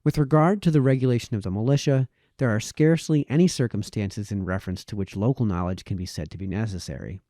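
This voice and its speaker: A man reading a formal historical essay aloud as narrator, in a deliberate, expository manner with varied emphasis. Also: on the low side, mellow, dry recording, studio quality. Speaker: reader, narrator.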